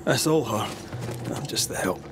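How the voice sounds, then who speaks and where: A man's voice: medium pitch, expressive, normal speed, heavy background noise, close to an everyday voice. An actor playing a courteous, practical male ghost hunter in a video game. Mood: modest, soft. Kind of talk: dramatic video game acting.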